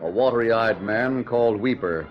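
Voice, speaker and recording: An actor playing a solemn storyteller, narrating a 1940s radio western in a measured, declamatory style. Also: male voice, medium pitch, natural voice. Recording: dull old 1940s radio recording.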